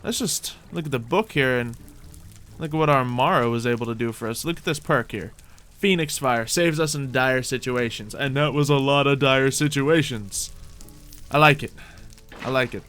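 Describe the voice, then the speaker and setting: A man with a bright, forceful voice narrates his own gameplay as a roleplay. He is theatrical and mock-boastful, often talking to himself and repeating phrases, over background music. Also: mid-range voice, normal speed. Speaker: gaming video host. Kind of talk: in-character gameplay commentary, roleplay.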